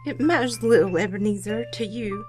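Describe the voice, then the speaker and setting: A woman plays a young fiancée in a radio play, sorrowful yet firm. Her mid-pitched voice is measured and reproachful, with music playing underneath. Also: lightly acted. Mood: sad, resolute. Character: sad, resolute young fiancée releasing her betrothed. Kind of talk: old-time radio drama acting.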